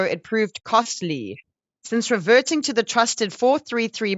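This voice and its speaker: A woman's voice: mid-range voice, fairly bright tone, dry recording, lo-fi sound. A woman hosting a casual, playful interview in a chatty, teasing way. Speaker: sports show host, interviewer.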